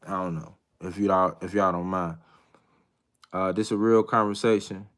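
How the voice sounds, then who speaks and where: A man in his thirties talks openly to viewers in a relaxed live chat. His voice sits slightly low yet sounds bright and forward, with a smooth, clean ring. He speaks in a hesitant, reflective way, stopping and restarting often. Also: moderate pace. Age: 30s. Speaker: livestream host.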